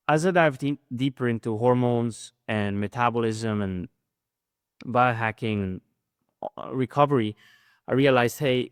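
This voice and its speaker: A man's voice: medium pitch, fairly bright tone, clean tone, dry recording, clean studio sound. A middle-aged man from Switzerland, a coach speaking as a guest in a casual interview, earnest and fluent, often tagging "right?".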